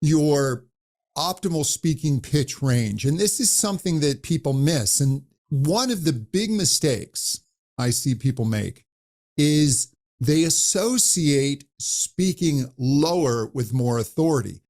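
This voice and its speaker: A man who coaches voices, talking animatedly in an interview and demonstrating high and low pitch as he explains. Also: expressive, slow, a little mellow. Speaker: voice coach guest. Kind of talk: conversational interview.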